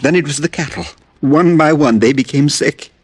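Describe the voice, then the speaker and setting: An actor playing a sickly, desperate farmer from Haiti in an old radio drama, halting, earnest and polite. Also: male voice, fairly high pitch, a crowd in the background, lightly acted. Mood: grave, earnest. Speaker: actor in a supporting role. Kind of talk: 1940s-50s radio drama acting. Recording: old radio recording, dry studio.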